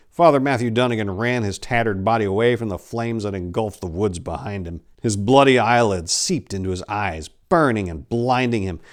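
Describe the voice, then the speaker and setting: A man in his forties with an American accent speaks as a theatrical storyteller. His pitch moves widely as he goes, giving the delivery an expressive, dramatic shape. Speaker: author reading his own short story. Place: indoor room lined with bookshelves. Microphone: lapel mic.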